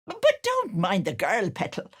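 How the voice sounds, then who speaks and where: Game role: older potion-selling witch auntie, syrupy and scheming, slow delivery with wildly animated pitch. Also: no echo, clean studio sound, exaggerated voice. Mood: sugary, scheming. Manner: cooing endearments laced with menace. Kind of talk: video game character voice lines.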